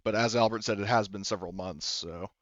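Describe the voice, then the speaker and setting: A man acting as game master, narrating and explaining a tabletop game in a measured, conversational way. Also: fairly low pitch, a bit bright, no echo, highs cut off.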